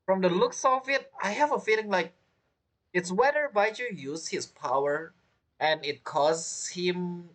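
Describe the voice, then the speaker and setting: Animated man doing casual game commentary. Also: high voice. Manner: rambling, repeats phrases. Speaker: game streamer.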